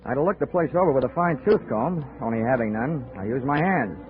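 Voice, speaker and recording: A man's voice: mid-range voice, expressive, brisk, close to an everyday voice. A man playing a hard-boiled insurance investigator, narrating with dry wisecracks in a 1940s radio detective drama. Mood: wry. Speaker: narrator and lead. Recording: tinny old radio recording with music.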